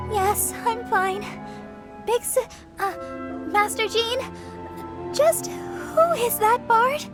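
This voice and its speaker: Very high-voiced, earnest little girl. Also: expressive, very slow, long pauses, music in the background, heavily acted. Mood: breathless awe. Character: small innocent little girl, earnest, eager and wide-eyed. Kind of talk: video game voice acting.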